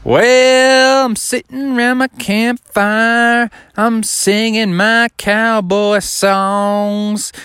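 A big friendly cowboy bear, as a male storyteller plays him, carefree and oblivious. His pitch sits up high and swoops around freely. He talks in an easygoing, drawn-out way, like a children's story character. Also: no echo, studio quality.

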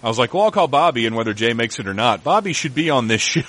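A man's voice: medium pitch, sing-song, fast, fairly bright tone. A man hosting a podcast, a little nasal and scratchy from a cold, talking in a rambling, self-deprecating way. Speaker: podcast host. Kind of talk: casual podcast chat.

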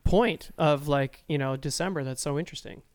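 A man chats casually as a podcast co-host. He speaks very quickly in a voice a little higher than average, with slangy phrasing and an eager manner. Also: a little hiss or hum. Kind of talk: casual chat.

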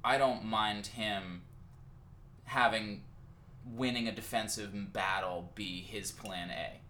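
A man co-hosting a casual sports talk show. He stumbles and restarts often, then builds a steady argument. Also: fairly low pitch, slow, a little hiss or hum. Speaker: podcast co-host.

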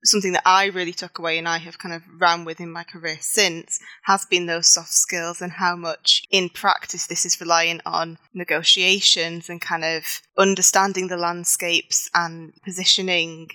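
A woman's voice: on the low side, little pitch movement, normal speed, bright, forceful tone, no echo, poor audio. A young woman with a Manchester accent, a podcast guest answering thoughtfully with some fillers and restarts. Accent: Mancunian English. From England. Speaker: podcast guest, former student. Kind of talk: conversational interview answer.

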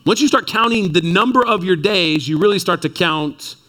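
A man in his thirties from Texas preaching a sermon to a seated congregation, building to excited peaks and dropping low and quiet. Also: normal speed. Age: 30s. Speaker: pastor. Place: church before a seated congregation.

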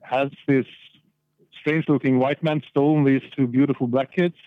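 A man's voice: mid-range voice, steady tone, moderate pace, dry recording. Norwegian man on a tinny line. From Norway. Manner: candid, plain-spoken, repeats words when thinking. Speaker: podcast guest. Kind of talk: casual conversation. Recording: tinny phone-line sound.

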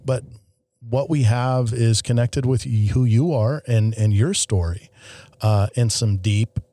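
A man's voice: mid-range voice, a little slow, mellow, no echo. A man co-hosting a podcast in a studio, enthusiastic and stumbling over his words as he sets up the question. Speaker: podcast co-host. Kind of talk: casual podcast chat.

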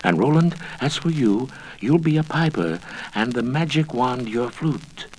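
A man voicing a quick-witted young girl who chants rhyming spells. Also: mid-range voice, animated, a little hiss or hum, lightly acted, low-quality recording. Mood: urgent.

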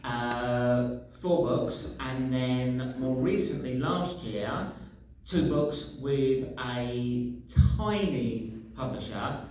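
Slow, measured man on a panel. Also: medium pitch, a little mellow, slight background noise. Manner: measured, explanatory. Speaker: author and writing course director. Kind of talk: panel talk. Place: hotel function room at a book festival. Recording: echoey hotel function room, distant mic.

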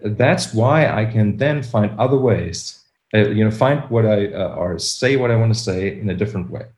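A man's voice: lowish voice, moderate pace, darkish tone. Man, hesitant, roomy sound. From Germany. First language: German. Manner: hesitant, searching for words, many restarts. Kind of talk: casual conversation.

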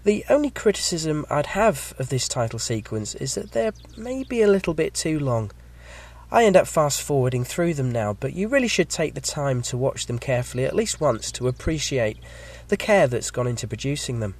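A man with a slight cold and a croaky voice, hosting a podcast and reading a measured, scripted episode review. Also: medium pitch, very animated, darkish tone. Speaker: podcast host.

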